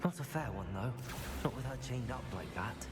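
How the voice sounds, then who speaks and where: A man's voice: medium pitch, animated, background noise, lightly acted. Composed young wizard hero with swooping intonation man acting. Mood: calm, wry. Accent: British. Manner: courteous, measured. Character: polite, composed, brave teenage student wizard hero. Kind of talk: video game dialogue acting. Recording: music bed behind the voice.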